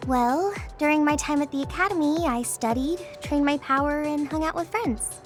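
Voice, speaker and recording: A woman's voice: high-pitched, moderate pace, faint music behind, lightly acted. An actress voicing a friendly, outgoing young female soldier in an anime game, casual and slightly coy. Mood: friendly, relaxed.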